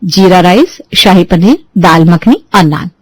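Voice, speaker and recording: A woman's voice: lowish voice, lively intonation, unhurried, slightly rough, no echo. A woman voicing a bold young bride who talks in an indignant, slyly teasing way. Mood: sly, bossy. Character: young new bride, bold and sharp-tongued.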